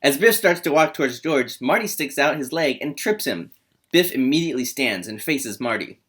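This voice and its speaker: A man's voice: mid-range voice, lively intonation, normal speed, a bit bright, breathy edge. A man hosting a comedy table read and narrating the screenplay's scene directions. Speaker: host and narrator.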